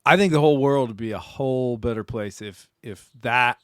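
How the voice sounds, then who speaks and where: Relaxed rambling podcast host man. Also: medium pitch, moderate pace, dry recording, studio quality. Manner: relaxed, rambling, lots of fillers. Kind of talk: casual conversation. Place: aboard a sailboat.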